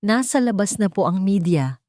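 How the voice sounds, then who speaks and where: A woman's voice: medium pitch, expressive, normal speed, a little mellow, no echo, clean studio sound, natural voice. A woman voicing a polite office assistant who reports news in an anxious, deferential way. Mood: urgent.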